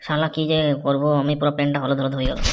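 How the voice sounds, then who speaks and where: A man's voice: a little high, flat delivery, very fast, very noisy, natural voice. A man voicing a brash, scheming, foul-mouthed young village man in a crude comedy cartoon, with a rural West Bengal accent. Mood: disgusted. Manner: bossy, crude, boastful.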